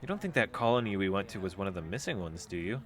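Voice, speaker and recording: A woman's voice: extremely low pitch, very animated, fast. A woman playing an exasperated, sheepish, sceptical space-crew member in an audio drama, with a hesitant delivery.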